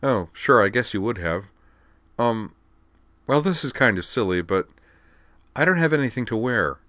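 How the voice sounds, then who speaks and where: A man's voice: mid-range voice, animated, moderate pace, pushed and bright, no room sound, pitched above the performer's own voice. A man voicing an eager, earnest young woman student in an audiobook, talking in a chatty, slightly sheepish way. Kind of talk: audiobook character voice.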